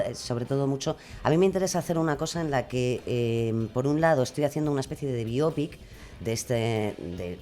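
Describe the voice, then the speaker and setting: A woman from Spain with a notably low voice speaks informally as a guest in an interview. She thinks aloud, stopping and restarting as she rewords her explanations. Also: warm tone. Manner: halting, thinking aloud, self-correcting. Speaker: podcast creator and guest.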